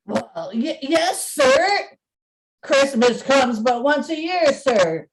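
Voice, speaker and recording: A woman's voice: high voice, sing-song, takes it slowly, full character voice. A woman playing a timid, downtrodden poor clerk in a radio play, meek and hesitant.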